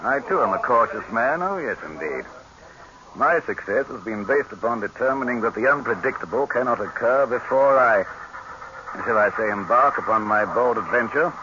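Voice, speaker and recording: An actor playing an imperious dictator in 1930s radio drama, pompous and condescending, savoring every phrase, on a muffled, noisy old recording. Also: male voice, mid-range voice, animated, normal speed, lightly acted. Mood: grandly self-assured.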